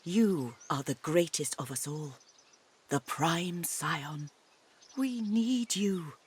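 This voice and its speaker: A woman's voice: low voice, expressive, takes it slowly, slight background noise. A woman playing a reverent, pleading elf in a fantasy game, with formal, earnest, archaic speech. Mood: earnest urging. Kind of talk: fantasy video game acting.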